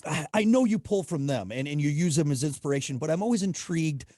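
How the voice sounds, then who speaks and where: A man's voice: fairly high pitch, animated, fast talker, mellow, no room sound, clean studio sound. A man hosting an interview, chatty and repeating phrases as he asks questions. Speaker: show host, interviewer. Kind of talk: casual interview chat.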